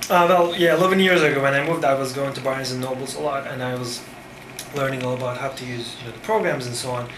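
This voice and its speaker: A man in his thirties from Turkey, Turkish native, speaking English with a Turkish accent in a casual interview, pausing often with fillers. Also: mid-range voice, steady tone, fairly bright tone. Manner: thoughtful, halting with many fillers. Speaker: design firm founder. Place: cafe-like room with framed wall, indoors. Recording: echoey room with background noise.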